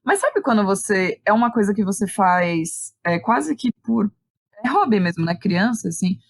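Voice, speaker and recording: A woman's voice: medium pitch, very animated, moderate pace, no echo. A woman with a São Paulo Brazilian accent chatting informally as an interview guest, often checking in with little tag questions. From Santo André, São Paulo, Brazil. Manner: informal, conversational, uses frequent tag questions. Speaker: guest, theatre director and playwright. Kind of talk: casual interview chat.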